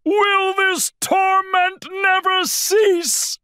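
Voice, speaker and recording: A man's voice: extremely high pitch, little pitch movement, very slow, sharp tone, dry recording, studio quality, voice pushed up, exaggerated voice. A man voicing a fussy, grumpy bear from a storybook, with an exasperated, theatrical delivery. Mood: exasperated wailing. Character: grumpy, fussy, dramatic male bear wanting quiet.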